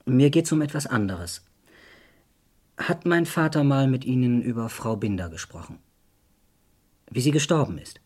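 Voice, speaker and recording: Husky, earnest man pressing for truth. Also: medium pitch, expressive, normal speed, pauses often, darkish tone. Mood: restrained, hesitant. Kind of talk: German crime radio play acting.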